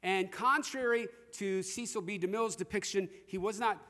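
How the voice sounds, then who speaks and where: A man delivers a sermon in a high, forceful voice that rings through a long hall reverb. He tells scripture stories conversationally and speaks straight to his listeners. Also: moderate pace. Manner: conversational, addresses listeners directly. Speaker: preacher. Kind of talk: sermon with storytelling and scripture reading.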